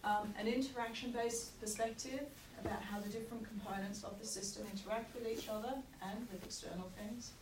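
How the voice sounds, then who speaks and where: A woman lecturer giving a university lecture with a croaky voice, explaining at a measured pace with frequent checks like "okay". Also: medium pitch, steady tone. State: croaky, recovering from lost voice. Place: lecture hall. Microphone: room recording from far off. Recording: echoey hall, distant mic, noisy.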